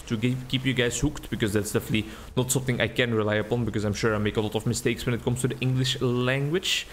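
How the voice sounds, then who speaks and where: Man, Belgian accent, 20s, casual game commentary, rambling, faint background hiss. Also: medium pitch. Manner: rambling, relaxed, thinking aloud. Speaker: gaming video creator. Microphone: desk mic with webcam.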